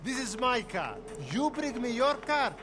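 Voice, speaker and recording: A man's voice: very high-pitched, sing-song, measured pace, noisy background, heavily acted. An actor playing a terse male Armenian mechanic who speaks in short, broken English phrases. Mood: proud.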